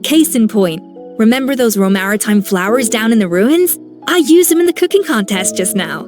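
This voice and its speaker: A woman voicing a composed grown-up master chef in a video game, calm and gently instructive like a mentor. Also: fairly high pitch, normal speed, natural voice. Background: soft game music.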